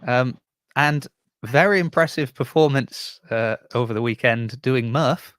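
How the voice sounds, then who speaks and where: A man's voice: mid-range voice, a little slow, fairly bright tone, dry recording. Upbeat teasing man, dry mic. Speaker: podcast host. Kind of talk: casual interview chat.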